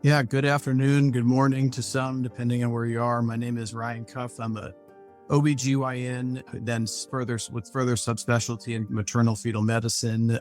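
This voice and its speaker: A man's voice: medium pitch, a little mellow. A man speaking as a physician on a panel over a video call, talking in long, reflective sentences. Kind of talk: measured professional talk.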